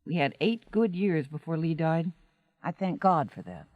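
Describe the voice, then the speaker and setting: An actress in a 1980s radio drama playing a seasoned stage actress, a frank and supportive friend with an emphatic manner. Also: female voice, low-pitched, sing-song, normal speed, no room sound, narrow, tinny audio. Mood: reflective.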